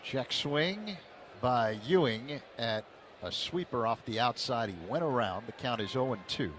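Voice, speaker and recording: A man calling a baseball game play-by-play on the radio, steady and then excited on big plays. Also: mid-range voice, unhurried, a little hiss or hum. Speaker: play-by-play announcer.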